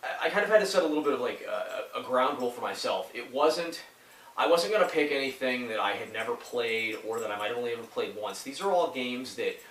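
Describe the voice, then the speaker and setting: A man's voice: medium pitch, a bit bright, far from the mic. A man with a head cold and a stuffy voice, talking casually and rambling, with lots of fillers and restarts. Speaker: YouTuber reviewing retro games. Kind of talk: casual talk to camera. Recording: echoey room, a little off mic.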